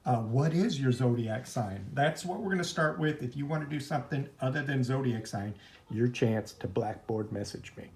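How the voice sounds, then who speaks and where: A man's voice: mid-range voice, normal speed, darkish tone. A man teaching art in an informal, chatty, rambling hands-on demonstration, easily drifting into asides. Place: at home, indoors. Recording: noisy home recording, clicks.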